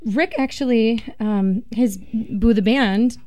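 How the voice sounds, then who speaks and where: A woman's voice: a little high, slow, darkish tone, no room sound. A woman from Minnesota, lead singer and pianist in a band, talking casually and laughing often in a radio interview. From Minnesota, USA. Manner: chatty, laughs often, restarts sentences.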